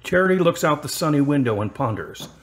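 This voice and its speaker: A man's voice: a little high, lively intonation, fairly quick. A man reading scripted narration for a radio drama as its narrator. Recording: music under the narration. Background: music.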